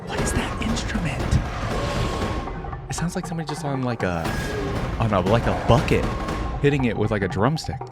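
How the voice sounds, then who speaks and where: A man giving casual, off-the-cuff reaction commentary as a video host, rambling as he thinks out loud. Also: medium pitch, sing-song, moderate pace, pauses often, over loud music.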